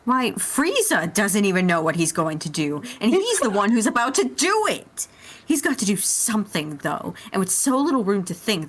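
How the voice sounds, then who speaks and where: A woman's voice: mid-range voice, a bit bright. A woman narrating a story as a dramatic reading within a group.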